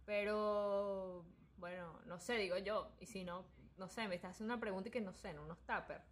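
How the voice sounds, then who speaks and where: A young Venezuelan woman chatting casually as a podcast host, telling anecdotes in an animated, slangy, joking way. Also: mid-range voice, a little fast.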